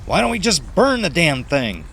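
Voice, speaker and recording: A man acts out a brash male sceptic in a horror audiobook. The voice is pushed up and emphatic, with a scornful edge and very lively swings. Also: fairly high pitch, normal speed, slight background noise, pitched above the performer's own voice. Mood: agitated.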